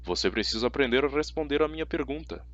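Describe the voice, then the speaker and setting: A man voicing a stern, measured male teacher in an audiobook, formal and deliberate. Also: medium pitch, animated, a bit bright, no echo, lo-fi sound, lightly acted. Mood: grave, insistent. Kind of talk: audiobook character voice.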